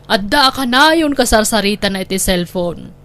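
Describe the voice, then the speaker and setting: A woman's voice: medium pitch, expressive, moderate pace, a bit bright. A woman with an Ilocano Filipino accent playing an older, motherly neighbour, earnest and advising, in audio drama style. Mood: concerned.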